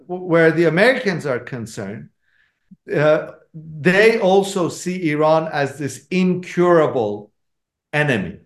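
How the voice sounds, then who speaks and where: A man's voice: a little high, reverberant. Iranian-accented man, emphatic lecturer. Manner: measured, emphatic, with frequent fillers and restarts. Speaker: author and guest speaker. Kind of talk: book talk answering audience questions.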